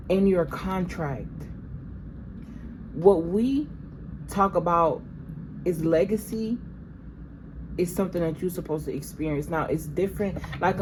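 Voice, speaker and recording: A woman's voice: low-pitched, background noise. A woman doing a channeled tarot reading in a casual, meandering way, sounding a little stuffy. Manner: conversational, meandering, addresses viewers as "y'all". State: stuffy from allergies. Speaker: tarot reader.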